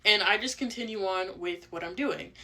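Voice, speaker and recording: A woman's voice: medium pitch, moderate pace, sharp tone, a little hiss or hum. A young woman telling a casual personal storytime in informal speech that can be broken by vocal tics. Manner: vocal tics interrupting speech with sudden repeated phrases.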